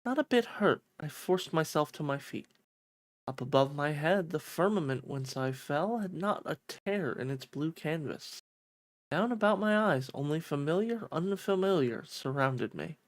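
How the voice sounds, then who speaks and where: A streamer voicing a pensive young heroine narrating a fantasy visual novel in a measured, wondering way. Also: medium pitch, lively intonation, close to an everyday voice. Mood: calm narration.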